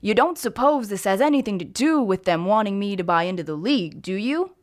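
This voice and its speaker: A woman voicing a fussy, shrewd male amateur sleuth with a pedantic, deliberate manner, in a read mystery story. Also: mid-range voice, animated, lightly acted. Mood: reluctant. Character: fussy, shrewd man who sleuths and walks with a cane.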